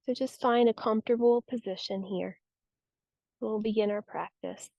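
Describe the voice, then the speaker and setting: A woman guiding a yoga nidra relaxation practice with soothing, unhurried, gentle instruction. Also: mid-range voice, steady tone, vocal fry, no echo, highs cut off. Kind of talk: guided relaxation meditation.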